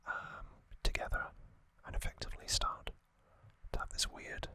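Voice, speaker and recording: A man hosting ASMR, narrating gently in a breathy, unvoiced whisper with frequent pauses. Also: no room sound. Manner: slow, gentle, pausing delivery. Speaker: ASMR channel host.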